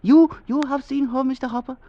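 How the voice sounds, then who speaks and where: A man's voice: very high voice, fairly even intonation, a little fast. An actor in an old-time radio drama playing a superstitious, sentimental foreign crewman, deferential and speaking clipped, accented broken English. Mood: wistful. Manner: clipped pidgin phrasing, deferential. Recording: dull, noisy old radio recording.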